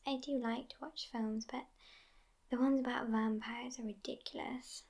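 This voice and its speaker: A woman playing a sassy, aloof, youthful but centuries-old vampire girlfriend in a soft, near-whispered ASMR roleplay. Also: a little high, fairly even intonation, moderate pace. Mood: languid, sassy. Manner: languid, aloof, hushed, often near whispering.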